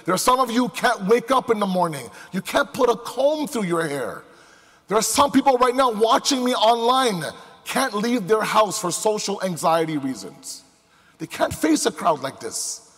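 High-voiced male preacher in a reverberant hall. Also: very animated, normal speed, a bit bright, breathy edge. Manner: emphatic, with rhetorical questions and repetition. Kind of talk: sermon to a live congregation. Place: large indoor hall before a crowd. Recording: echoey hall with long reverb.

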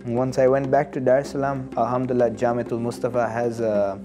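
A man in his early twenties from Tanzania, speaking as an interview guest in a measured, hesitant and earnest way. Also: mid-range voice, flat delivery. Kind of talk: studio interview, personal reflection. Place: studio. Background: plucked guitar music.

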